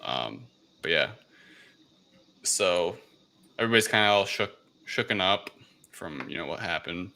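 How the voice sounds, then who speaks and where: A man casually recapping a film as a podcast co-host, rambling and halting, with frequent swearing. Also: low-pitched, expressive, vocal fry, pushed and bright.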